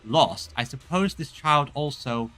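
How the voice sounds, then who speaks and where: Animated, bright-voiced man streaming a game. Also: medium pitch, a little slow. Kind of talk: reading game dialogue aloud and commentating.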